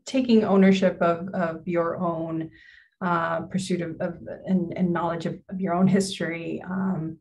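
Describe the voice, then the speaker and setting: Brief: young woman moderator on a video call, lowish flat voice, gravelly, halting restarts. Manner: stammering, repeats words. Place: home room.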